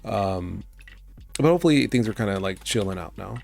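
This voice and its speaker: A young man, raspy from just getting over being sick, chats casually and thinks aloud while working on his game on a live stream. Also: on the low side, lively intonation, normal speed, darkish tone. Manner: laid-back, rambling, thinking aloud. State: raspy voice, just recovering from illness. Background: faint background music and keyboard typing.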